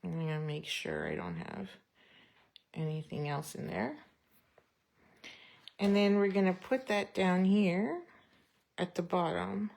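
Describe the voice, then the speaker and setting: A woman chatting casually through a card-stamping tutorial, thinking aloud and poking fun at herself, her voice going a bit hoarse. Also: fairly low pitch, very animated, slow pace, long pauses, a little mellow. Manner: chatty, self-deprecating, thinks aloud while working. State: losing her voice, clearing throat. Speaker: stamping craft demonstrator. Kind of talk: casual craft tutorial chat.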